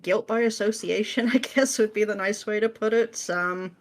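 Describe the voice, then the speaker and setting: A woman's voice: medium pitch, moderate pace. A woman answering questions as a guest in a casual interview, informal and dryly self-deprecating.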